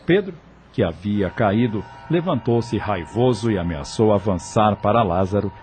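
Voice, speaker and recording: A man with a low voice narrates a scripted period novel. Music plays behind his storytelling. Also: lively intonation, unhurried, a little mellow. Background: background music.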